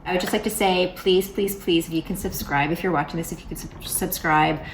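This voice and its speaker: A woman's voice: fairly low pitch, fairly even intonation, fairly quick, a little hiss or hum. A woman in her forties talking casually to camera in a vlog, chatty and rambling, with lots of fillers and restarts. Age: 40s. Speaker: vlogger.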